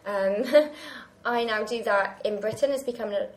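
A woman's voice: medium pitch, fairly even intonation, measured pace, poor audio. A young woman from Wales, a university student chatting casually and laughing often. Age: young adult. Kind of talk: casual chat.

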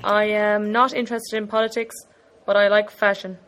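A gentle, modest woman from the west of Ireland, describing herself. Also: mid-range voice, level tone, normal speed, over music. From Galway, Ireland. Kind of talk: self-introduction.

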